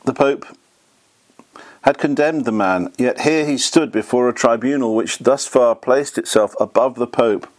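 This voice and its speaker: Guy reading old history aloud, bit croaky, steady, medium voice. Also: mid-range voice, measured pace. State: slightly hoarse. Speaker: reader.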